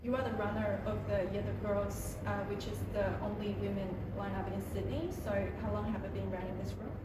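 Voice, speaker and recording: Woman host, halting English, very echoey room. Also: mid-range voice, level tone. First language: Chinese. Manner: halting non-native English with frequent "uh" pauses. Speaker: interviewer and video host. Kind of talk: hosting an interview. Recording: very echoey room, mic far off, noisy background.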